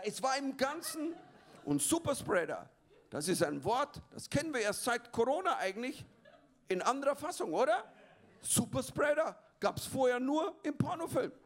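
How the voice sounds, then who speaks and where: A man doing an animated stand-up bit that builds to loud punchlines. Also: very high voice, normal speed. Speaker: stand-up comedian. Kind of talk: stand-up comedy set. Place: comedy club stage.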